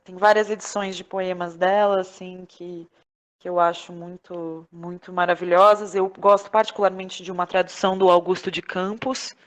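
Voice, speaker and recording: A woman's voice: fairly low pitch, flat delivery, normal speed. A woman with a Brazilian Portuguese accent chatting informally and effusively as an interview guest. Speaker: podcast guest, playwright, actress and director. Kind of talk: casual interview conversation.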